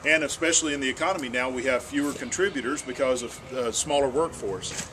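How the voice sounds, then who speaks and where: A man's voice: medium pitch, fairly quick, background noise. A man, a state senator giving an earnest town hall speech and answering questions, often repeating words as he restarts.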